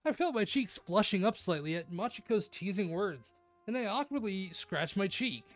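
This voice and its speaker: A woman streaming a visual novel read-aloud, mixing expressive narration with casual, playful chat. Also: medium pitch, normal speed, faint music behind.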